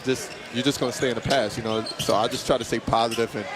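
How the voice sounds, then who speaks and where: A man's voice: medium pitch, fairly even intonation, fast. A young man, an injured college basketball player, giving an earnest courtside interview and saying "you know" a lot. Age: young adult. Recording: loud arena crowd noise. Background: crowd and game noise.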